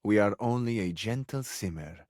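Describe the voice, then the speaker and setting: An actor playing a flowery, sensual, effusive Italian suitor who flirts overzealously in a video game, with an Italian accent. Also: male voice, fairly low pitch, lively intonation, normal speed, a little mellow, no echo, studio quality, natural voice. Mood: amorous, flirtatious.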